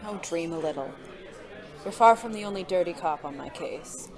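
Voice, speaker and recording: Woman as a suave, dangerous, flirtatious gentleman. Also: on the low side, animated, moderate pace, a crowd in the background. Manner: arch, refined, teasing. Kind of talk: noir audio drama acting. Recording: faint music behind the voice.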